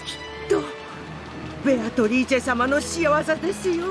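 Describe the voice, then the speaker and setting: Anxious, deferential young woman voiced by an actress, visual novel, brisk, over music. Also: sits high, natural voice. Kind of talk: Japanese visual novel acting.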